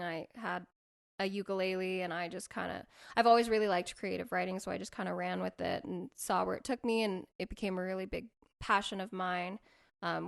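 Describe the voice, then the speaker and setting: A woman in her twenties, co-hosting a podcast and chatting about herself in a relaxed way. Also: on the low side, gravelly. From Colorado, USA. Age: 20s. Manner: relaxed, chatty, laughs between words. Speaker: podcast co-host. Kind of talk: casual chat.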